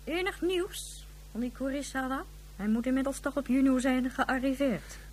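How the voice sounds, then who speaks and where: An actress playing a stern, imperious government official in a radio drama, clipped and demanding. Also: female voice, high-pitched, moderate pace, a little mellow, a little hiss or hum, natural voice.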